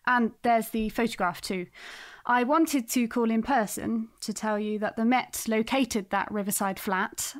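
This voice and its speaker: An actress plays a policewoman in an audio drama, a detective sergeant. Her voice is fairly high. She is courteous and businesslike, delivering information with assured confidence. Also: close to an everyday voice. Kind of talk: naturalistic audio drama acting.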